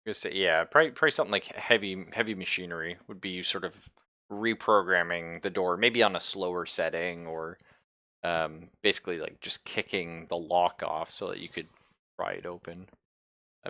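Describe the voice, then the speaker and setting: A man running a tabletop roleplaying game, improvising his descriptions haltingly as he thinks aloud. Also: deep voice, gravelly, bright, forceful tone, no room sound. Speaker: game master.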